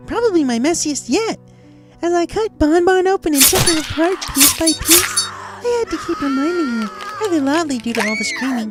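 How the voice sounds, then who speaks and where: High, manic girlish cartoon pony villain. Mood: gloating menace. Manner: sugary, theatrical, taunting. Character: manic, girlish cartoon pony killer, sweetly menacing female. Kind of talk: dramatic fan fiction reading in character. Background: music.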